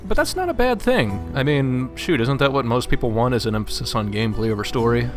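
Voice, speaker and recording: A man's voice: medium pitch, lively intonation, a little fast. A man chatting casually as a co-commentator on a video game. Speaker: guest co-commentator. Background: faint game music.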